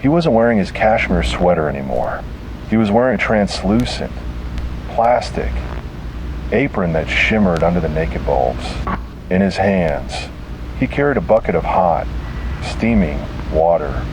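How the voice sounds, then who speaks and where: A man reading a scripted horror story slowly and deliberately, with dramatic pauses. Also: low-pitched, sing-song, noisy background.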